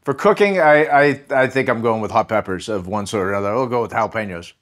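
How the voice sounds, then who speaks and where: A man's voice: lowish voice, fast talker. A man speaking as a guest historian in a conversational podcast interview, stressing his points emphatically.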